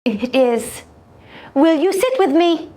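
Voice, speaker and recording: A woman voicing a courteous senior alien broker in a science fiction audio story, with stiffly formal, deliberate phrasing. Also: high-pitched, animated, warm tone. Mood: formal courtesy.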